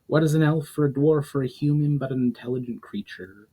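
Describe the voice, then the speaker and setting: A man's voice: fairly high pitch, a little fast, dark tone, breathy edge, pitched above the performer's own voice, low-quality recording. A composed elf woman from a fantasy tale, as a male reader puts her on. She explains mystical matters with poise and a knowing air. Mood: calm, knowing.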